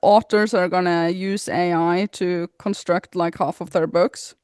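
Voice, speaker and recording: A woman co-hosting a podcast in casual chat, laughing often as she talks, her pitch jumping up suddenly. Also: fairly even intonation, measured pace, a little mellow, clean tone, no room sound, clean studio sound. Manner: giggly, laughs often while talking. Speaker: podcast co-host.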